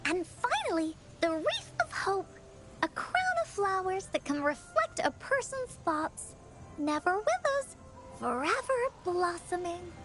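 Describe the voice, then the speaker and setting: A kindly little cloud fairy from a video game. The voice is extremely high and highly animated, with a timid sweetness and a gentle storybook way of explaining things. Music plays behind it. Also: female voice, a little slow, full character voice. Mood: gentle, encouraging. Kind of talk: video game character acting.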